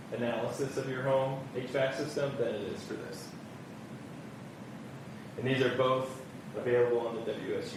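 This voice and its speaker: A man's voice: medium pitch, vocal fry. A man on permit staff walking an audience through building forms, in a casual manner that leans on "kind of". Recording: echoey room, heard from far off, background noise.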